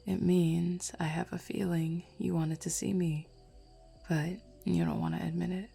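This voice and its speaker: A woman voicing a flirty, self-assured female werewolf in an intimate roleplay audio, teasing softly and without hurry. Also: lowish voice, level tone, unhurried, creaky, slightly rough, soft background music, exaggerated voice.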